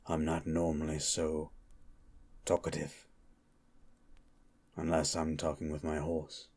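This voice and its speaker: Man playing a very deep, husky monster hunter. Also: darkish tone, exaggerated voice. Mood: dry, guarded. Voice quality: low, gravelly-husky. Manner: slow, guarded, dry. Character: gruff, suspicious male monster hunter by a campfire. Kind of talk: ASMR roleplay acting.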